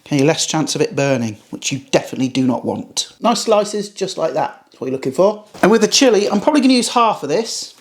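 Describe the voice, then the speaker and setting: A man's voice: on the high side, very animated, fast, few pauses. A man hosting a casual cooking tutorial, chatty and blunt, swearing now and then. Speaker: cooking channel host.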